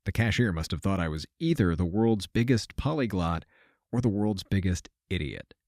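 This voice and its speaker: An actor playing an uneasy, wry traveler, narrating a science fiction audio drama in a deliberate, self-mocking storytelling manner. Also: male voice, deep voice, animated, a little mellow, no echo, studio quality. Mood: wry, reflective. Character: uneasy, wry male traveler narrating a simulation-hopping adventure. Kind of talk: scripted first-person audio drama narration.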